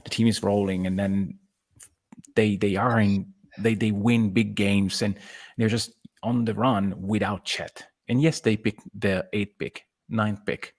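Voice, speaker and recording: A man's voice: on the low side, steady tone, a little slow. A man co-hosting a sports podcast in a casual, conversational debate.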